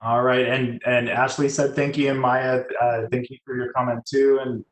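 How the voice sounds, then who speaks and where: A man giving informal career advice as a guest on a video call, speaking haltingly and often trailing off. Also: medium pitch, level tone. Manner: hesitant, halting delivery with trailing phrases. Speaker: guest, landscape designer and choral musician. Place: indoor room on a video call. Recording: echoey room, faint background noise.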